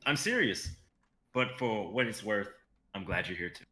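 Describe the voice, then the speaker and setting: A man's voice: fairly low pitch, lively intonation, moderate pace, sharp tone. A young man playing a casual, loyal and supportive adventurer in a dramatic reading. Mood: warm, sincere. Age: young adult. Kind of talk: online dramatic script reading.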